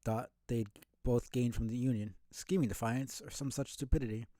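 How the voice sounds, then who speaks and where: Game bug, played by a man: jaded and scornful, folksy blunt talk, dark mellow tone. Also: medium pitch, steady tone, moderate pace, dry recording, studio quality, lightly acted. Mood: wistful, scornful. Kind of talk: video game dialogue read aloud.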